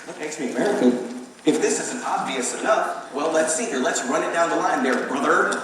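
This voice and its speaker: A man's voice: on the high side, moderate pace, crowd noise, natural voice. A man on stage playing a pompous, showy congressional candidate who styles himself an American patriot, recorded in an echoey hall. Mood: smug, evasive. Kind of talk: live stage play acting. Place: theater stage, audience behind. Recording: echoey hall, distant mic, noisy audience.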